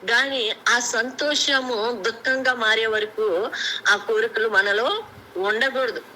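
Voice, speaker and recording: A woman preacher speaks in a bright, forceful, fairly high voice. She teaches without a script, pausing and restarting as she explains and puts questions to her listeners. The conference-call recording has some faint noise. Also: rough recording. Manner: halting, explanatory, with rhetorical questions. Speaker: preacher sharing a Bible message. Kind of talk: extempore devotional teaching to a prayer group.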